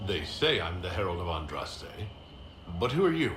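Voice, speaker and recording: A man playing a serious, sceptical hero in a video game, speaking in a formal, measured and dry manner. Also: low voice, big pitch swings. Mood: sceptical, composed. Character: composed, serious male hero leading an order, sceptical. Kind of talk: video game dialogue acting. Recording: roomy echo, faint background noise.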